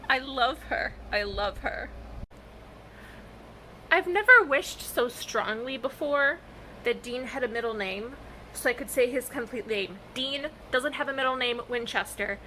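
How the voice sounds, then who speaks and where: A woman talking casually in a reaction vlog, with a halting, expressive fangirl delivery and dramatic pauses. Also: sits high, slight background noise, rough recording. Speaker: vlogger sharing reactions to fan fiction.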